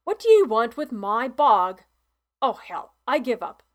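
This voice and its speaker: A woman voicing a gruff, grumbling old male farmer with a Scottish accent, in an audiobook reading. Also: on the high side, very animated, unhurried. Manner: gruff, exasperated, blustery.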